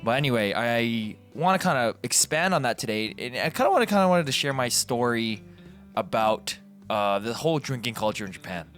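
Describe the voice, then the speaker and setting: A man's voice: mid-range voice, animated, a little fast, a bit bright. A Canadian man hosting a casual, rambling commentary, informal and digressive, often correcting himself as he goes. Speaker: video host. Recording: background guitar music. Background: guitar music.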